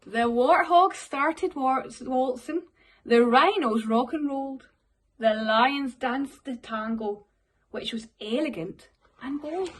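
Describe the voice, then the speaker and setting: A woman with a Scottish accent reading a storybook aloud to children like a primary teacher, expressive and addressing her young listeners. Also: sits high.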